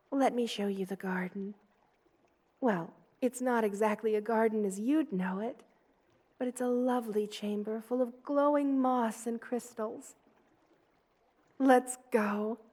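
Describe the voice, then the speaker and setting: A woman voicing a gentle, loving spider queen in an ASMR roleplay, with a tender, soothing and gently formal way of speaking. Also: fairly high pitch, slow, long pauses. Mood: warm, affectionate.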